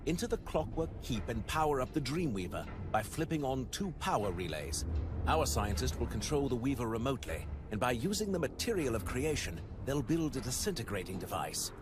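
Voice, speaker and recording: An actor playing an eager, talkative ally who explains missions with theatrical enthusiasm in a video game. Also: male voice, medium pitch, big pitch swings, fairly quick, background noise, lightly acted. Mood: eager briefing.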